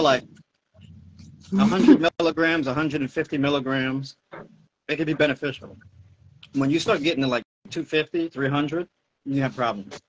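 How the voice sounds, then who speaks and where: A middle-aged American man, a fitness coach, explaining things informally in a casual video-call Q&A. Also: medium pitch. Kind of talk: casual Q&A chat. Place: indoor room at home, video call. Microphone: wired earphones on a video call. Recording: dull, muffled video-call audio.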